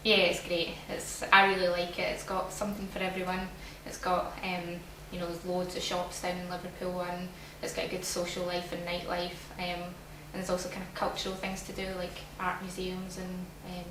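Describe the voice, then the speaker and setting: A young Scottish woman answers questions in a steady, very flat voice that sits a little low. She sounds distant and echoey, with clear noise behind her. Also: fairly quick, few pauses. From Glasgow, Scotland. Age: young adult. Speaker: student interviewee. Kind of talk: casual interview answers. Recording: echoey room, from afar, noisy.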